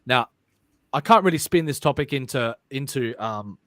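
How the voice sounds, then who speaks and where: A man hosting a live-streamed chat show, talking in a loose, halting way full of ums, restarts and crude jokes. His voice sits at an ordinary middle pitch, recorded dry and close with no room sound. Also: animated, a bit bright, no echo. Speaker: podcast host reading chat.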